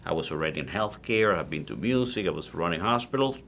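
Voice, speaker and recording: A man in his forties with a Cuban Spanish accent, a native Spanish speaker telling his story as a guest in a casual interview, animated and full of restarts. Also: sits low, fast talker, vocal fry, slight background noise. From Cuba. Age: 40s. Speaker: guest, cigar maker.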